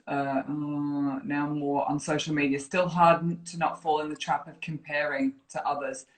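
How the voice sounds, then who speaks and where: A woman with a northern English accent softened by years in New Zealand, chatting casually and teasingly on a live stream as she reads out viewer comments. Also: sits low, flat delivery, moderate pace. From Yorkshire, northern England. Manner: playful, teasing. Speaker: fitness program director, interview guest. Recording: roomy, echoey live online video chat.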